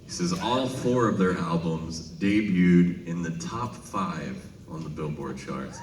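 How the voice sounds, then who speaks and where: American guy with a low voice telling funny stories on stage, kinda distant mic, roomy live sound. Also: a little slow, crowd noise. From Minnesota, United States. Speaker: singer addressing a concert audience. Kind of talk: humorous onstage storytelling between songs. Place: large casino concert venue. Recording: echoey live hall, distant noisy PA sound.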